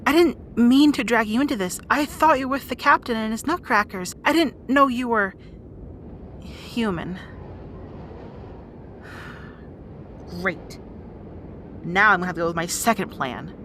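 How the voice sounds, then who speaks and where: A woman's voice: fairly high pitch, expressive, normal speed, fairly bright tone, slight background noise. A woman playing a bossy, guarded young rat princess in an audio roleplay drama, with exasperated, wry asides. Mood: apologetic, exasperated.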